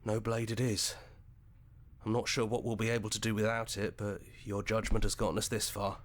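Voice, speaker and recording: A man voicing an earnest, soft-spoken young hero in a video game, thoughtful and loyal. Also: on the low side, little pitch movement, fairly quick, natural voice. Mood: wistful. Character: earnest heroic young man, gentle inner voice and loyal companion. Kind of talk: visual novel game voice acting.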